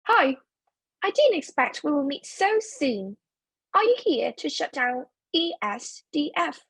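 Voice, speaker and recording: A woman's voice: high-pitched, big pitch swings, a little slow, gravelly, a bit bright, no echo, full character voice. A woman playing a giddy, blissfully cruel young woman in love, taunting and theatrical. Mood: playful taunting. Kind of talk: theatrical reader's-theatre acting.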